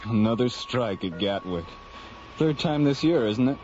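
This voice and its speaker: An actor playing an efficient, affable space station controller in a radio drama, businesslike yet friendly. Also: male voice, medium pitch, lively intonation, a little slow, background noise, natural voice. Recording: dull old radio drama, music behind.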